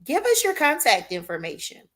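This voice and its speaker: A woman hosting a live talk show, loudly hyping her audience and calling guests "queen". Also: sits high, huge pitch swings, moderate pace. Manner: hypes the audience with "y'all" and "queen".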